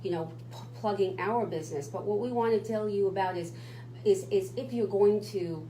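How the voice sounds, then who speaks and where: A woman's voice: medium pitch, moderate pace, dark tone, roomy sound, slight background noise, rough recording. A woman co-hosting a radio talk show, speaking in an emphatic, motivational way. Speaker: radio co-host.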